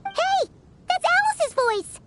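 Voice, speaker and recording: A woman voicing a tiny, excitable, childlike female fairy companion in an anime video game, squeaky and exclamatory. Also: slow, over music, exaggerated voice. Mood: surprised. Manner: speaks of herself in third person, exclamatory.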